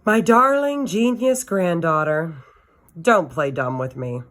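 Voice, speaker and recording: A woman's voice: lowish voice, slow, fairly bright tone. A stern but loving grandmother from a children's novel, acted out by a woman reading aloud. She speaks firmly and with emphasis, and is thoroughly no-nonsense. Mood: chiding. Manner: firm, emphatic.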